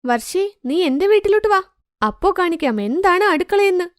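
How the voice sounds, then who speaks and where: A woman's voice: very high voice, expressive, moderate pace, no echo, studio quality, voice pushed up. A woman voicing a haughty, boastful young daughter-in-law who complains in a cartoon moral story. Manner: complaining, showy.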